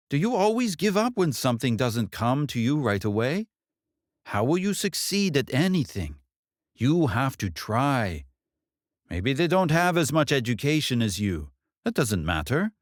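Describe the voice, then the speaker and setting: A man's voice: mid-range voice, no echo, studio quality, pitched above the performer's own voice. A man voicing an older mother in a story, blunt and proud, with emphatic rhetorical questions. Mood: scolding. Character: older mother, wise, proud, sharp-tongued, bitter about in-laws.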